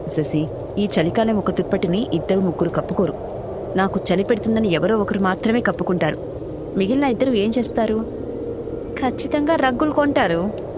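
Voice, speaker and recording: A woman's voice: medium pitch, a little fast, pauses often, heavy background noise, lightly acted. A woman voicing a confident pigeon husband in a cartoon story, explaining his schemes in a reassuring way. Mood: reassuring, persuasive.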